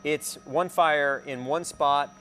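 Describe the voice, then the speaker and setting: A man's voice: fairly high pitch, fairly even intonation, pushed and bright, slight background noise. A man anchoring live news with unscripted commentary, often restarting and repeating words as he ad-libs. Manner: halting, repeats words while ad-libbing.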